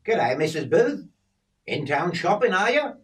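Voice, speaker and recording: A man's voice: high-pitched, big pitch swings, measured pace, fairly bright tone, recorded from a distance, voice pushed up, full character voice. A man voicing a friendly, chatty young country lad with an Australian accent. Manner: chatty, easygoing.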